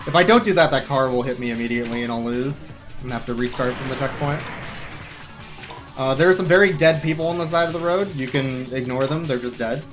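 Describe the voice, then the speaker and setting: A man's voice: medium pitch, fast. Wry man commentating over faint music. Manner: casual, wry, offhand. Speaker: speedrunner. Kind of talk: live speedrun commentary. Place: live gaming event stream with game music behind.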